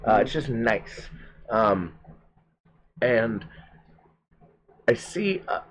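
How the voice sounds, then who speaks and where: Animated storytelling man in his thirties. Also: medium pitch, drawn-out words, slight background noise. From Atlanta, USA. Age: 30s. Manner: animated storyteller, swears freely, acts out quotes. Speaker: guest comedian and bouncer. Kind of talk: casual chat.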